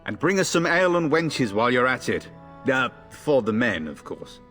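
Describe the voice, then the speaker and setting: A man's voice: medium pitch, big pitch swings, normal speed, a bit bright, in a large hall, faint music behind. A man playing a jaunty, teasing young noble soldier in a video game.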